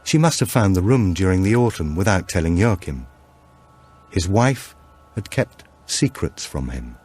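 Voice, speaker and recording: Low-voiced man narrating a thriller. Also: very animated, a little mellow, husky. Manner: measured, deliberate storytelling. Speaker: audiobook narrator. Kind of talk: scripted narration of a supernatural thriller.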